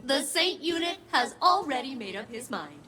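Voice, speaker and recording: A woman's voice: high-pitched, sing-song, normal speed, pushed and bright, a little hiss or hum, full character voice. A woman voicing a chirpy, formal and cheerfully blunt female ship AI in a video game, with precise phrasing. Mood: matter-of-fact. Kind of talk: video game character acting.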